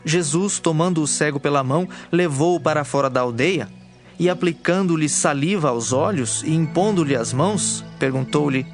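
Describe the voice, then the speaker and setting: A male narrator reads a dramatized Bible story in a formal, measured way. His voice sits slightly high, and music plays faintly underneath.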